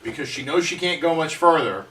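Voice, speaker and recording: A man's voice: on the high side, expressive, sharp tone. A man playing a tabletop roleplaying game, hesitantly narrating his character's moves in casual talk. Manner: halting, hesitant. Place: around a table indoors at a home game. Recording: roomy, distant mic, faint noise.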